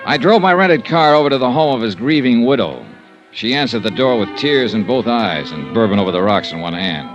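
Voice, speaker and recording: An actor playing a tough, wry insurance investigator in 1950s radio detective style, clipped and sardonic. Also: male voice, medium pitch, expressive, fairly quick, lo-fi sound, music in the background, natural voice. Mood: wry narration. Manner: clipped, hard-boiled, sardonic. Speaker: lead actor and narrator.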